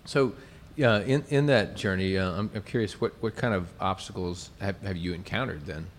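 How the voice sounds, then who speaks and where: A man hosting an interview, asking questions in a halting way with many restarts. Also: low-pitched, a little hiss or hum. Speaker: interview host.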